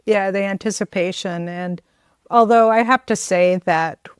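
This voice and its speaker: A woman's voice: mid-range voice, steady tone, a little slow, clear, resonant tone, dry recording, clean studio sound. A woman with an American accent hosting a podcast, speaking conversationally as she interviews a guest. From United States. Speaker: podcast host.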